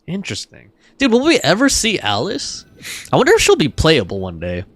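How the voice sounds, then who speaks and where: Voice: mid-range voice, wildly swooping pitch, a little fast, dry recording. A game streamer giving casual live commentary and chatting with viewers in laid-back, slangy phrasing.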